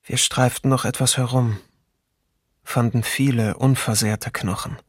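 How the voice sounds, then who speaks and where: An actor voicing a sombre man recalling his childhood, reading a literary memoir with measured restraint in a documentary radio play. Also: medium pitch, steady tone, no echo, studio quality, close to an everyday voice. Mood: sombre, reflective.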